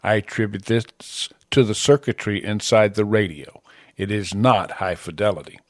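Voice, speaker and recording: A man presenting a scripted amateur radio tutorial in plain, measured short statements, close on a broadcast microphone. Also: fairly low pitch, fairly even intonation, no echo. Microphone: close broadcast podcast microphone.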